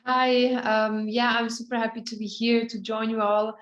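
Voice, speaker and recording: A woman's voice: a little high, normal speed, muffled recording. A woman who is a professional tennis player, chatting casually and plainly on a panel. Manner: relaxed, even and plain. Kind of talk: casual chat. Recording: echoey room.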